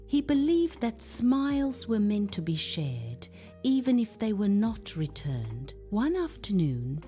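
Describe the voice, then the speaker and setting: A woman's voice: mid-range voice, sing-song, slow pace, dark tone, faint music behind. A woman narrating a scripted moral story for children as a storyteller.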